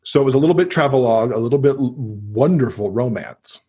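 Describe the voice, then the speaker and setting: A man's voice: mid-range voice, expressive, fast, dark tone, slightly rough. An American man chatting casually and enthusiastically as an interview guest recommending books. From United States. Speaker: podcast guest, book lover.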